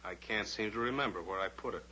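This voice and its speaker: An actor playing a steadfast, brave cowboy pilot in an old-time radio drama, firm and terse. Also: male voice, lowish voice, quick pace, bright, forceful tone, rough recording. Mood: guarded, firm. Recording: old radio recording with faint hiss.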